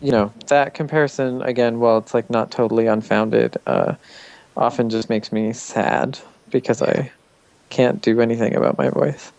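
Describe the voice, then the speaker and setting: A man with a nasal voice and a gravelly, fry-laden edge, at a mid pitch. He talks openly and reflectively as an interview guest, with frequent ums and restarts. The sound is close and dry, with little room. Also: lively intonation, normal speed, darkish tone, no echo. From Canada. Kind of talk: casual interview chat.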